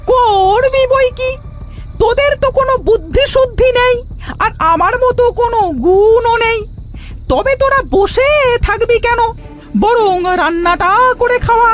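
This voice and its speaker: A woman's voice: extremely high pitch, unhurried, soft background music. A woman voicing a boastful, bossy older brother in a children's cartoon, haughty, whiny and quarrelsome.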